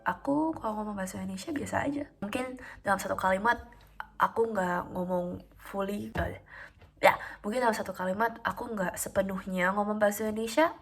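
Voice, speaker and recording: A woman of twenty-one talking casually in a home vlog, calm and chatty with frequent fillers. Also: medium pitch, a little fast, vocal fry, slightly rough, faint music behind. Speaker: vlogger.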